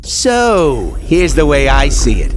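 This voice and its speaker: An actor playing a cocky, wisecracking space outlaw in a superhero video game, talking in informal slang. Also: male voice, on the high side, very animated, slow pace. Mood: cocky bargaining. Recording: music under the voice.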